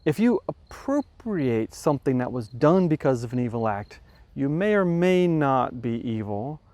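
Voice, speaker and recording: A man hosting a podcast, talking through a moral question in a thoughtful, measured way, restarting phrases as he reasons aloud. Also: medium pitch, very animated, a little mellow, no room sound. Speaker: podcast host. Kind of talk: explanatory talk on ethics.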